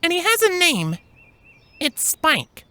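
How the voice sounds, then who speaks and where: A man puts on a young female pony for a storybook reading. She sounds very high and slow, with lively swings in pitch. Her manner is gentle, composed and quietly proud. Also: dry recording, heavily acted. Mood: calm, firm. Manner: gentle, composed, careful delivery.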